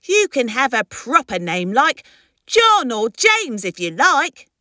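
A woman's voice: high-pitched, very animated, slow pace, bright, forceful tone, no room sound, clean studio sound, pitched above the performer's own voice, heavily acted. A woman voicing a devoted, affectionate mother dinosaur who gushes in a children's storybook. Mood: proud, gentle. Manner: gushing, affectionate.

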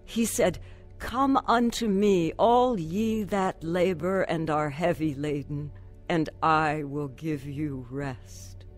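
A woman's voice: low voice, slow pace, faint music behind. An actress playing a troubled, earnest woman telling her story of faith in a radio drama. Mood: grateful, testifying. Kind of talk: radio drama acting and first-person narration.

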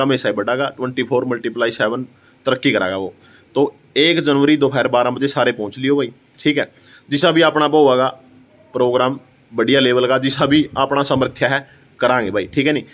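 Emphatic young Haryanvi man vlogging. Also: medium pitch. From Haryana, India. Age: young adult. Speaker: vlogger, host. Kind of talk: casual vlog to camera. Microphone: phone at arm's length.

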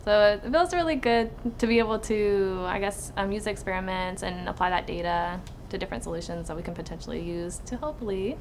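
A woman's voice: mid-range voice, brisk, few pauses, a little hiss or hum. A woman in her twenties from Texas, a biology student talking informally about research in an interview. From Texas, United States. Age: 20s. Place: indoors in a laboratory.

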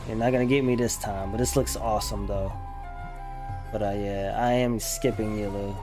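A man's voice: lowish voice, fairly even intonation, normal speed. A young man giving casual, slangy reaction commentary in a laid-back way. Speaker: reaction video host. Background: game trailer music.

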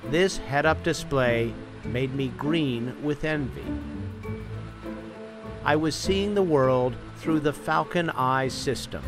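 A man's voice: mid-range voice, slow pace. A man reading scripted first-person narration as a reporter for a documentary feature. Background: clear background music.

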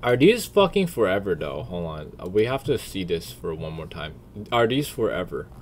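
A man's voice: sits low, big pitch swings, normal speed, a little hiss or hum. A man giving casual, slangy reaction commentary as a streamer, blunt and full of swearing. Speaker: streamer and video creator.

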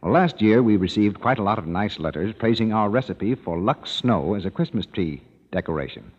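A man's voice: lowish voice, lively intonation, moderate pace, darkish tone, husky. A man reading a cheerful sponsor message as a radio announcer, persuasive and instructive. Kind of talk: 1930s radio commercial reading. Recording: muffled 1930s radio broadcast in a hall.

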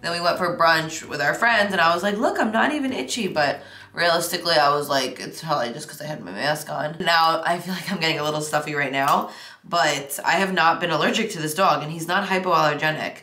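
A woman's voice: low-pitched, fairly quick, bright, forceful tone, echoey room. A young woman vlogging casually while doing her makeup at home, rambling and chatty with lots of fillers. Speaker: beauty vlogger. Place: home makeup room, indoors.

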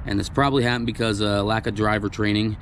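A man with a lowish voice talks through a repair in a plain, practical way, dropping in fillers as he works. He is outdoors on a gravel lot, caught by a camera worn on his body, with a faint hum of the open air behind him. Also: fairly even intonation, fast, fairly bright tone. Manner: plain-spoken, explanatory, with frequent fillers. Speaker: truck repair technician. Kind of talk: hands-on technical demonstration.

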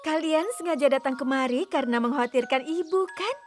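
Woman playing a gentle high-voiced deer teacher. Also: fairly quick, over music, lightly acted. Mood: tender, grateful. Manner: gentle, affectionate. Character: gentle young female deer kindergarten teacher, caring. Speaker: kindergarten teacher. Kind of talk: children's cartoon dub in Indonesian.

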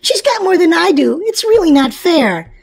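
Woman voicing a high whiny little boy rabbit. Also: very animated, moderate pace, gravelly, full character voice. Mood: whiny complaining. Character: small, cranky, selfish whining boy rabbit.

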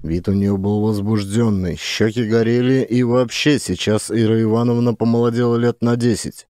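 A man's voice: lowish voice, fairly even intonation, normal speed, mellow, slight background noise. A man narrating a scripted satirical story as an actor.

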